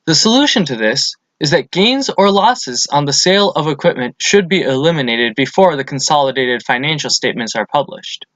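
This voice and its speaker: Higher-voiced man narrating a methodical lesson. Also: lively intonation, brisk, few pauses, a bit bright, dry recording. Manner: methodical, explanatory. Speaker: accounting lesson presenter. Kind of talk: scripted instructional narration.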